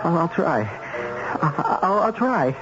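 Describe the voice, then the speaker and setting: An actor playing a guilt-ridden, desperate hitman who drinks, speaking in halting, repeated words in a radio drama. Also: fairly high pitch, very animated, over music. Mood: haunted, weary. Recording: dull, noisy old radio broadcast recording.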